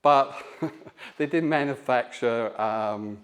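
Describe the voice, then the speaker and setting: A middle-aged man giving an informal church teaching talk, pausing often with ums and now and then laughing along with the group. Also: medium pitch, crowd noise. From born in Scotland, raised in Melbourne. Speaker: guest teacher. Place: church hall.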